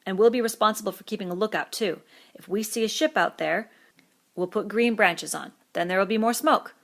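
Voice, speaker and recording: A woman's voice: medium pitch, brisk, voice pushed up, natural voice. A woman voicing a domineering, contemptuous schoolboy leader. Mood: bossy. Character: bossy, aggressive schoolboy choir leader.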